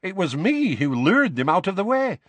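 A man's voice: high voice, big pitch swings, normal speed, dry recording, voice pushed up. A man voicing a bold, cheerful young witch with a perky, cheeky delivery in an audiobook. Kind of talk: audiobook character voice.

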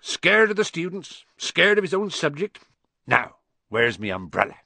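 A big, good-natured giant with rough, homely speech, put on by a man. His voice swings from booming to low and confiding. The recording is dry and close. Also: big pitch swings, moderate pace, sharp tone, no room sound, full character voice. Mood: hearty. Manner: hearty, colloquial, blunt. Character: huge, friendly male groundskeeper giant.

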